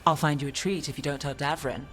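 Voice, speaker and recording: An actress playing a playful companion in a video game, teasing and mock-conspiratorial. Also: female voice, sits low, fairly even intonation, fast talker, fairly bright tone, soft background music. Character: playful female companion coaxing a pet griffin. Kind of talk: video game cutscene acting.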